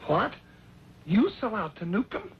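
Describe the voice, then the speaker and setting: High-voiced, excitable cowboy sidekick. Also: male voice, measured pace, full character voice. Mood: indignant. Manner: folksy, exclamatory cowboy talk. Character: excitable, folksy cowboy sidekick, loyal and indignant. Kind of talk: mid-century Western drama acting. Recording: dull, noisy old radio recording.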